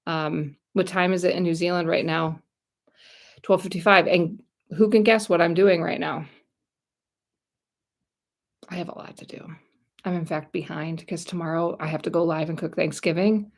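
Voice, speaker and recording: A woman's voice: fairly low pitch, fairly even intonation, normal speed, long pauses. A middle-aged American woman chatting casually on a livestream, rambling and talking straight to her viewers. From United States. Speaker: YouTube channel host.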